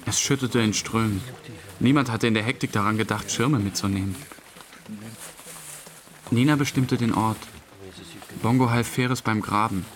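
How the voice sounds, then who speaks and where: A man's voice: lowish voice, normal speed, pauses often, natural voice. An actor playing a subdued, hesitant man who recalls a friend's burial in plain, short sentences, as narrator of a radio play. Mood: reflective, subdued. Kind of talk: interview-like dramatic monologue, past-tense recollection. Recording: faint rain and ambience noise.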